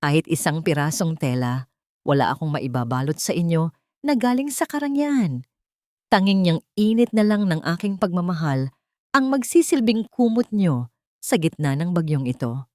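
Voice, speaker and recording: A poor, suffering young Filipino mother played by a woman storyteller speaks in a low, earnest voice. She is tender and determined, in radio drama style, with a dry sound. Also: expressive, normal speed, a little mellow, dry recording, natural voice. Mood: sorrowful devotion.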